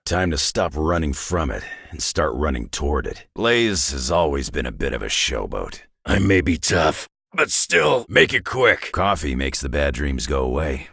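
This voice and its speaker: An actor playing a gruff, sardonic, battle-hardened male superhero in a video game, growling tough-guy slang in a raspy North American accent. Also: big pitch swings, moderate pace, few pauses, a bit bright, dry recording, studio quality, full character voice. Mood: brusque, dry. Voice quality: very deep, gravelly, raspy growl. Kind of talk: video game character voice lines.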